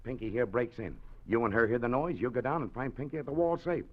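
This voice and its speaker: A man's voice: mid-range voice, fast, close to an everyday voice. An actor playing a scheming gangster boss in a 1940s radio drama, talking in a hard, clipped tough-guy manner. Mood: menacing. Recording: dull old radio broadcast.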